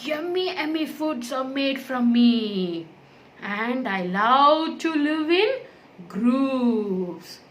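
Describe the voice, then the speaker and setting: A woman's voice: high voice, expressive, drawn-out words, full character voice. A woman voicing a cheerful, friendly cow puppet in a children's educational show, speaking eagerly in simple, repetitive phrases. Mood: cheerful, proud.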